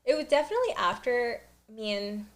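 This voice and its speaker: A woman's voice: fairly high pitch, big pitch swings, brisk. A young woman, a student, chatting as a podcast guest, talkative and full of "like" fillers. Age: young adult. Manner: chatty and giggly, fills with "like". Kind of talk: casual chat.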